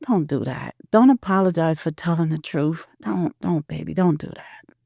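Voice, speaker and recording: A woman voicing a gentle, remorseful middle-aged husband in an audio drama, speaking softly and earnestly. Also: sits low, animated, normal speed, vocal fry, slightly rough, dry recording, clean studio sound, full character voice. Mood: tender. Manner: soft, earnest, firm.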